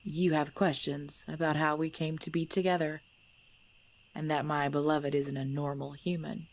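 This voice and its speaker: A woman playing a centuries-old female vampire clan leader in an ASMR audio drama, composed and commanding, speaking in measured, formal phrases. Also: low voice, little pitch movement. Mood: calm, assured. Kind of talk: ASMR audio roleplay acting. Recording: background noise with chirping crickets.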